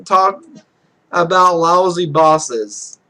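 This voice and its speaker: A man's voice: sits high, very slow, bright, forceful tone. A man reading a visual novel aloud as a let's player, with casual, sweary asides. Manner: sweary, loose off-script reactions. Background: game music.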